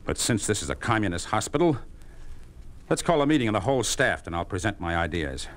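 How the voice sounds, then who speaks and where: A man's voice: fairly low pitch, very animated, brisk, a bit bright. An actor playing a blunt, principled male surgeon with clipped, commanding delivery in a 1950s radio drama. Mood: indignant, resolute. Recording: noisy old 1950s radio recording.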